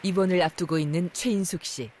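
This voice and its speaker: A narrator reading a scripted medical documentary in a measured, formal way. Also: medium pitch, steady tone, darkish tone, slight background noise. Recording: music behind the voice. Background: background music.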